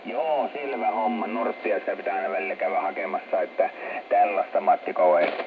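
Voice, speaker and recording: A man's voice: lowish voice, animated. A man chatting casually as an amateur radio operator over a shortwave link, in a hesitant, rambling manner. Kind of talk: casual ham radio contact. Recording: tinny, very noisy shortwave radio transmission. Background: loud radio static.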